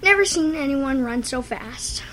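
High-pitched woman telling a spooky story. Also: animated, unhurried, fairly bright tone, poor audio. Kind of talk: spooky short story narration.

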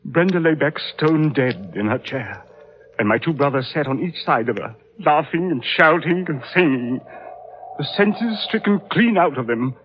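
An actor playing a distraught, horror-struck English gentleman, faltering in old-time radio drama style. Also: a little high, animated, moderate pace, lightly acted. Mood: horrified, grieving. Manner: agitated, faltering, melodramatic. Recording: muffled vintage radio recording, faint noise.

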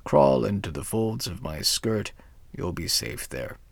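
A man voicing an old grandmother, gruff but kindly, talking softly and low. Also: big pitch swings, a little slow, dry recording, exaggerated voice. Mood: hushed.

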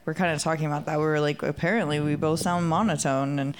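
Low monotone woman, a bit tipsy. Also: fast talker, slight background noise. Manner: frank, giggly, loses her words. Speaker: podcast co-host. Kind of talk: casual chat.

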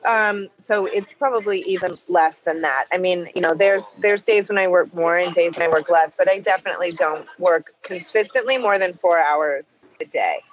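A woman guest on a podcast, telling her story in brisk, self-assured casual chat. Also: lowish voice, muffled recording.

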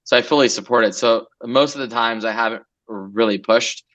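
A man's voice: medium pitch, moderate pace, fairly bright tone, highs cut off. A man in his forties from Los Angeles, a guest entrepreneur chatting casually in an interview, loose and rambling with many fillers.

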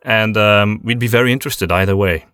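A man co-hosting a podcast in casual conversation, reflective and often pausing to search for his words. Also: on the low side, a bit bright, faint music behind. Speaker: podcast co-host.

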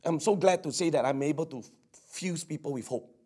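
A middle-aged man with a Singaporean English accent, preaching and praying fervently, his voice hoarse from preaching. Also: on the high side, brisk, mellow. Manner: fervent, repetitive, peppered with "Amen". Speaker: pastor. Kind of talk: sermon with prayer. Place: church service.

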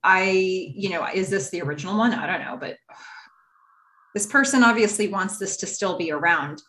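A woman co-hosting a podcast in casual chat, talking enthusiastically in quick bursts. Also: medium pitch, animated, bright, forceful tone, low-quality recording. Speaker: podcast co-host.

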